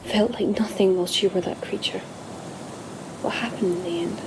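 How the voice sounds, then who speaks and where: A woman's voice: mid-range voice, steady tone, moderate pace, noisy background, natural voice. A woman playing an uneasy, questioning companion in an audio drama, with a reserved manner. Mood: uneasy, curious.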